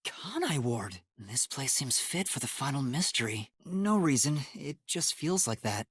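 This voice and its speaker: A man's voice: medium pitch, breathy edge, dry recording, studio quality. A man voicing an earnest, easily flustered young male trainee detective in an anime-style video game, with a youthful, hesitant delivery. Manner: earnest, hesitant, prone to startled yelps.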